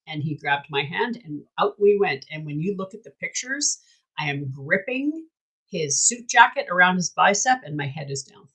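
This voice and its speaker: A woman's voice: on the low side, animated, moderate pace, fairly bright tone, slightly rough, rough recording. A woman hosting a candid casual chat, talking emphatically and bluntly and swearing freely. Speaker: host.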